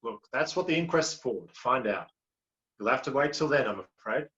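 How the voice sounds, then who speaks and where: An actor playing a dry police officer. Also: male voice, medium pitch, expressive, moderate pace, fairly bright tone, close to an everyday voice. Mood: official. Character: patient, dry-witted police officer man. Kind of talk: broadcast drama acting. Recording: dull lo-fi recording.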